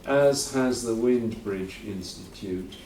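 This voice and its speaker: A man's voice: on the low side, takes it slowly, warm tone, a little hiss or hum. A man hosting a conference, talking informally in a rambling, self-correcting way with dry asides. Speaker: conference host. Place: lecture hall. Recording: roomy hall, voice from a distance.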